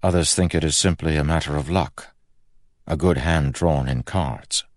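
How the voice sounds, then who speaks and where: A man voicing a guarded, courteous young magician envoy in an audiobook, speaking in a measured, restrained and formal way. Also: extremely low pitch, no room sound, clean studio sound. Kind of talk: audiobook character acting.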